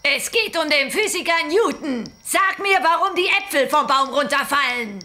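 A woman's voice: high voice, expressive, normal speed. An actress playing an evil, gloating female villain in a theatrical children's audio drama. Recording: loud music under the voice.

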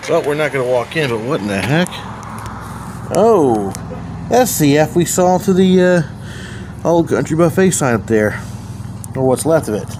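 A man's voice: a little high, sing-song, moderate pace, long pauses, background noise. A man in his forties giving casual, wry walking commentary while he films around an empty building. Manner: chatty, wry asides to the viewer. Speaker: vlogger exploring abandoned places. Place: outdoors around an empty building, later in a car. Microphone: handheld phone camera.

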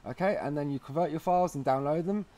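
A man with a slightly high but very mellow voice presents a casual how-to guide. He speaks informally, walking the listener through one step at a time in a chatty way. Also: fast talker. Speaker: host of a how-to video series. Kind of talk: casual tutorial narration.

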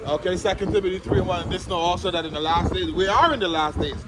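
A man with a high voice talks religion in a colloquial, emphatic way as he walks outdoors. He circles back and repeats phrases for weight. Clear outdoor noise runs behind him. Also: fast talker. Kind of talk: casual religious talk while out walking. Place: outdoors, walking. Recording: noisy outdoor recording, traffic or wind noise.

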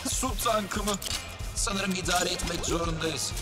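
A teasing, boyish alien hero from a cartoon, cocky and impulsive. His voice sits high, with loud music behind it. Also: takes it slowly. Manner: cocky, exclamatory. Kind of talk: Turkish cartoon dub acting.

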